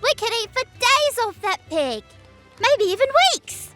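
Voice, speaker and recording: Audio drama role: feisty little street girl, extremely high and animated, defiant, loud-natured, music underneath. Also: a little slow, heavily acted. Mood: hungry, stubborn. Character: scrappy, reckless, loud young girl street urchin.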